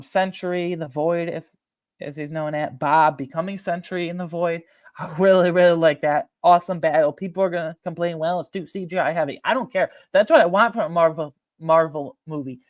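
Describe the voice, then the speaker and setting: A man hosting a film ranking video, talking informally and emphatically with slang and a halting, opinionated delivery. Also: fairly high pitch, no room sound, clean studio sound. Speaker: video host ranking films.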